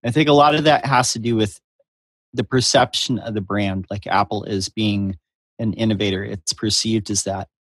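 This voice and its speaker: A man speaking as a panelist, laying out an analytical, measured argument. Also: fairly low pitch, steady tone, a bit bright, no room sound. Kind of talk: panel discussion.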